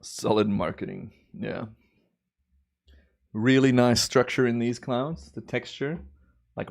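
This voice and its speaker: A man in his thirties from Belgium, with a Belgian accent shaded by American and Australian English, chatting easily as host of a live stream, with drawn-out cheerful exclamations. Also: fairly low pitch, a little mellow. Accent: Belgian, with American and Australian influence. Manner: laid-back, chatty, drawn-out enthusiastic exclamations. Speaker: timelapse livestream host. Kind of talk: relaxed live stream commentary.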